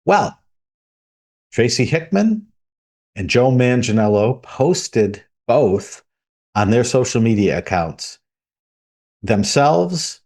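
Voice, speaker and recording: A man co-hosting a tabletop gaming podcast, reading out news items in a steady, measured way. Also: medium pitch. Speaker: podcast co-host.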